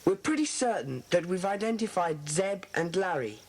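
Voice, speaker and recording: Earnest high-voiced English schoolboy leader. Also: male voice, expressive, moderate pace. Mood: earnest, deliberating. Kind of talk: dramatised children's audiobook acting. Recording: faintly noisy old cassette recording.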